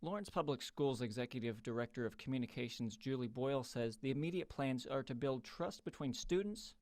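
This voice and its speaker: A man's voice: medium pitch, brisk, a little mellow, no room sound, studio quality. A man with an American accent reading a scripted television news report as a field reporter.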